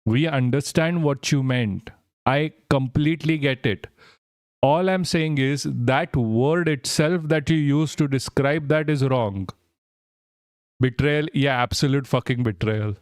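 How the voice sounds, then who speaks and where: A man chatting on a live stream, rambling, swearing and joking as he answers his chat. His voice is smooth and clear at a middle pitch. The sound is dry and close on a desk mic. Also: a little slow, no room sound. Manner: rambling, profane, jokey, reads chat aloud.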